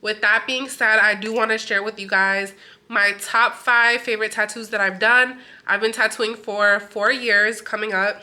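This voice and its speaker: Bright, stuffy-nosed woman vlogging. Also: mid-range voice. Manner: chatty, full of "like" fillers. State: stuffy, nasal from a cold. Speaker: tattoo artist and vlogger. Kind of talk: casual vlog chat.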